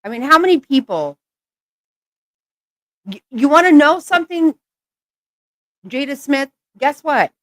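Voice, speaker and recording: A woman's voice: high-pitched, animated, unhurried, long pauses, dry recording. A woman giving blunt, exasperated reaction commentary, swearing often. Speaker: channel host reacting to clips.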